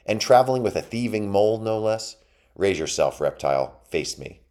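A man's voice: rumbling low, fairly quick, a little mellow. A man voicing a tiny, defiant young male mouse knight who speaks in a haughty, challenging way. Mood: scornful.

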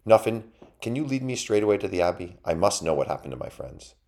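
A man's voice: low voice, very animated, fast talker, darkish tone, voice pushed up. A man voicing a noble, humble male turtle knight with a formal, chivalrous way of speaking. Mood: concerned.

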